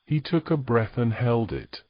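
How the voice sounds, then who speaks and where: A man's voice: medium pitch, big pitch swings, measured pace, no room sound, dull audio. A man narrating a scripted story as the narrator of an audio drama.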